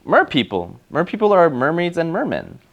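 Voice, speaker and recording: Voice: medium pitch, huge pitch swings, normal speed, dull audio, very noisy. A narrator telling a children's story, explaining things along the way. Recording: loud music behind the voice.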